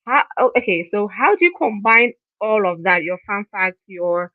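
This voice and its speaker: A woman's voice: mid-range voice, expressive, moderate pace, no echo. A woman hosting an interview in a friendly, conversational way, stacking several follow-up questions together. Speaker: interviewer, host. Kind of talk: casual interview chat. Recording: dry tinny phone-like line.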